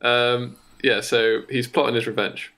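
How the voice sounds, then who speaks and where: Bright-voiced man improvising a comic story. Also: medium pitch, fairly even intonation. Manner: halting, piecing thoughts together. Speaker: podcast co-host.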